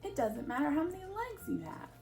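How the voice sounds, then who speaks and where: A woman's voice: high voice, very animated, a little fast, darkish tone, far from the mic, soft background music. A woman reading a picture book aloud for young children, expressively and with questions to her listeners. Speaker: children's storyteller at a church.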